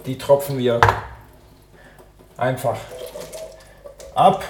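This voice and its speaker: A man with a slightly hoarse voice from a cold, hosting a cooking podcast and chatting casually as he prepares a recipe in his home kitchen. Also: mid-range voice, very slow, very noisy. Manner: relaxed, talks while chopping and cooking. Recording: kitchen clatter and clicks behind. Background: dishes, pots and pans clattering.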